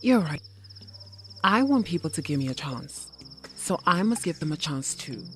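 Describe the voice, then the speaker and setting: A woman's voice: deep voice, big pitch swings, normal speed, a little hiss or hum. A woman with a southern African accent playing a reflective, proud transgender woman teacher in a radio drama. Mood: heartfelt.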